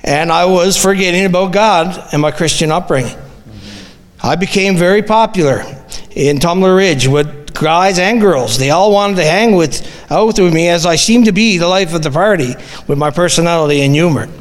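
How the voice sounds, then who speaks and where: A middle-aged man with a Newfoundland accent reads his own testimony to a church, plain and earnest, stumbling now and then. His voice sits high for a man, and a long reverb trails it through the hall. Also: normal speed. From Newfoundland, Canada. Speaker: guest giving a church testimony. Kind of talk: reading a personal testimony aloud.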